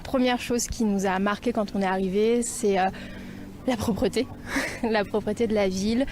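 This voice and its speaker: A woman's voice: mid-range voice, steady tone, normal speed, a little mellow. A woman answering spontaneously in an interview as a volunteer educator, hesitating often with fillers. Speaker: volunteer French-teaching expert, interviewee.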